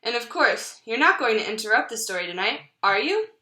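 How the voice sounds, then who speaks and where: A mildly exasperated papa chicken at bedtime, as a woman reader puts him on. He is patient and gently chiding, in a bright storybook voice with roomy echo. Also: fairly high pitch, very animated, a little fast.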